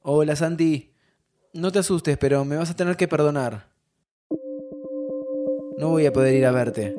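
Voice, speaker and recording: An actor with an Argentine accent playing a warm young man who says goodbye to his friend, laughing softly and comforting him. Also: fairly high pitch, measured pace, faint music behind, close to an everyday voice. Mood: shaken. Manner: affectionate, laughing, soothing. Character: warm young male time traveler saying goodbye. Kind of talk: audio drama acting.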